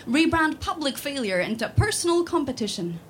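A woman's voice: high-pitched, sing-song, brisk, slight background noise. A woman in her twenties from Sweden, talking with many fillers and restarts, then reciting a spoken word poem built on repeated refrains. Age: 20s.

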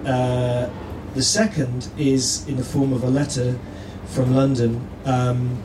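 A man introduces speakers at a live event in a loose, halting way. His voice sits in a middle register. It carries plenty of room echo, with clear noise in the background. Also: mid-range voice, flat delivery. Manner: halting, hesitant delivery. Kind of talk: informal spoken introduction. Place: live stage event indoors. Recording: distant, echoey, noisy room with audience.

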